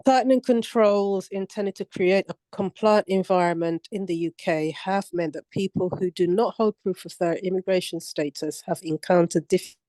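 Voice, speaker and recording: An older woman reading a policy document aloud on a video call, careful and measured, with some hesitations. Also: fairly low pitch, mellow, no room sound. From Commonwealth country. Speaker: book club reader.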